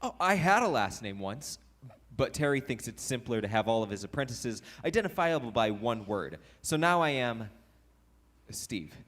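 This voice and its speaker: A man's voice: medium pitch, very animated, normal speed, a bit bright. A man acts a meek, literal-minded male sidekick to a ghost hunter. His mid-range voice bounces up and down with earnest eagerness, as in a live comedy audio play. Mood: aggrieved, earnest. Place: recreation center hall, live stage performance.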